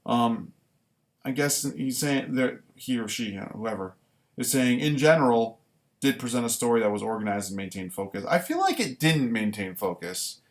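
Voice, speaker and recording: A man reading his own writing live and commenting on it casually, in deliberate, halting short phrases. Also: mid-range voice, big pitch swings. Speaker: writer reading own work; former military recruit.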